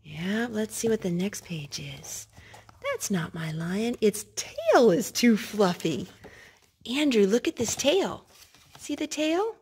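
A woman's voice: mid-range voice, very animated, slow pace, a little mellow, slight background noise. A woman doing storytime at home, talking simply and instructively to young children as she reads and asks them questions. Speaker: parent reading to and singing with young children. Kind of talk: storytime reading and sing-song talk to small children. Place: living room at home, indoors.

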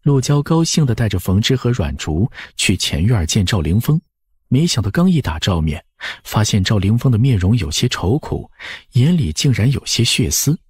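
A man's voice: on the low side, sing-song, moderate pace, a little mellow, husky, no echo, studio quality. A man reading a scripted novel narration. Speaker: audiobook narrator.

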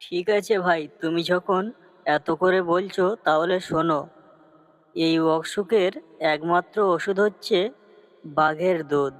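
A man's voice: sits high, steady tone, unhurried, long pauses, no echo. A man playing a humble woodcutter father in a cartoon story, earnest and plaintive. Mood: worried, helpless.

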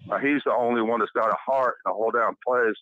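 A man's voice: mid-range voice, fairly even intonation, quick pace, no room sound, phone-quality sound. A man in a private phone conversation, ranting bitterly with swearing, heard on a recorded call.

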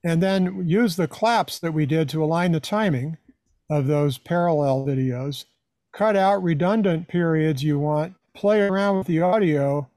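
A man's voice: fairly high pitch, dark tone, no echo. An older man giving an informal live tutorial, explaining things in a conversational way. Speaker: presenter, hobbyist teaching video editing.

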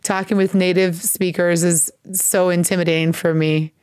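A woman's voice: on the low side, little pitch movement, a little mellow, clean tone, no room sound, clean studio sound. American woman teaching English on a call. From United States. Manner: switches between English and halting Portuguese, explains phrases slowly. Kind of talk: live video-call lesson. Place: home room, seated at desk. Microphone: desk microphone on video call.

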